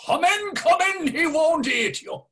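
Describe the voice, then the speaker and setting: An actor with an Irish accent playing a fiery nationalist Dublin drinker who rants in a husky voice, read over a video call. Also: male voice, very high-pitched, lively intonation, measured pace, fairly bright tone, exaggerated voice. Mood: jovial. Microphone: video call webcam.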